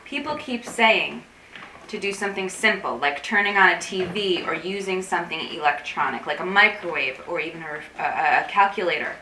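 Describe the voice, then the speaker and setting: Actress as an intense, anxious woman, bright forceful voice. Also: lowish voice, animated, a little fast. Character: anxious, intense woman craving digital disconnection. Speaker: actor in a staged play reading. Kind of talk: theatrical staged reading, monologue-like dialogue. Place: room at a live staged reading. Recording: roomy, distant mic, faint noise.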